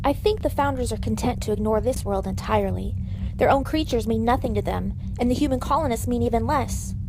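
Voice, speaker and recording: Higher-voiced blunt starship doctor played by a woman. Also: a little fast, background noise, close to an everyday voice. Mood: cynical. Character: outspoken, embittered female starship doctor. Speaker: audio drama actor. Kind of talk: scripted sci-fi audio drama.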